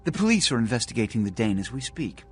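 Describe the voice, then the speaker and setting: An actor playing a haughty, aristocratic vampire prince in a video game, formal, pompous and condescending, coldly scheming. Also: male voice, mid-range voice, big pitch swings, quick pace, breathy edge. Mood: measured, businesslike. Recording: music behind the voice.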